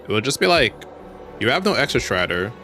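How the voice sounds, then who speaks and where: An animated male streamer with a mid-range voice, talking casually over a game. His speech is slangy and his pitch moves around a lot, with faint music underneath. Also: brisk. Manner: slangy, colloquial. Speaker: streamer playing a video game. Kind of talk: casual playthrough commentary.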